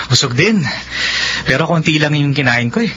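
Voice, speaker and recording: A man's voice: on the high side, animated, measured pace, pushed and bright, slight background noise. A man acting an earnest, devoted young suitor in a romantic radio drama, ardent and pleading. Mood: tender. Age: young adult. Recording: dull lo-fi audio with music.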